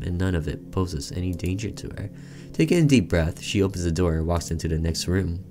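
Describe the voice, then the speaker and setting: A man reading visual novel narration aloud in a dramatic, deliberate storytelling manner, with casual commentary as a gaming host. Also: sits low, a little mellow, a little hiss or hum.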